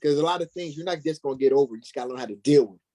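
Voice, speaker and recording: A man's voice: fairly high pitch, very fast, mellow. A man speaking casually as a guest actor in a panel chat. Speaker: stage actor, interview guest.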